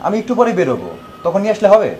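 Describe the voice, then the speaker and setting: An actor playing an eager, hospitable, unsuspecting young husband with a Bangladeshi accent and an over-polite manner. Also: male voice, high voice, wildly swooping pitch, racing, a little mellow, a little hiss or hum, poor audio. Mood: compliant. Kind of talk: TV drama acting.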